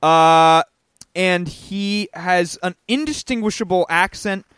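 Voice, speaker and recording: A man's voice: high-pitched, slow pace, a bit bright, clean tone, dry recording. A man co-hosting a movie podcast who talks with emphasis and repeats his points. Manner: emphatic, repeats himself for effect. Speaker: podcast co-host. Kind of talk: casual movie review chat.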